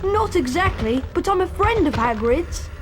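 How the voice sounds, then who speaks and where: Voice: very high voice, sing-song, moderate pace, background noise. An actor voicing an earnest young schoolboy wizard, brave and curious, in a video game, with an urgent delivery. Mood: earnest, uneasy.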